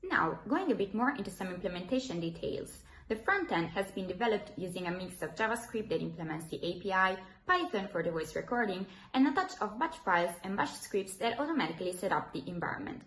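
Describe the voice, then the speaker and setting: A woman's voice: mid-range voice, lively intonation, a little fast, few pauses, fairly bright tone. A young woman, a student presenter, giving a scripted technical presentation. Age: young adult. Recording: roomy echo with faint hiss.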